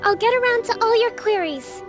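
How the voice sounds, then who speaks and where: An actress voicing a gentle young island leader in an anime game, speaking in a formal, measured, diplomatic way. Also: female voice, extremely high pitch, music in the background. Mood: patient, weary.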